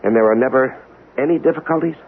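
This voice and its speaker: An actor playing an earnest government inspector and accountant in 1970s radio drama, speaking precisely and formally with polite hesitations. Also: male voice, mid-range voice, fast, phone-quality sound, lightly acted. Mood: polite, probing. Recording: noisy, band-limited 1970s radio recording.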